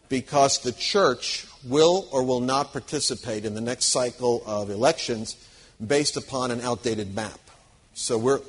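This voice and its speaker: Man, husky voice, mid pitch, earnest teaching talk, emphatic, conversational. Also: poor audio. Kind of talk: teaching talk to a church audience.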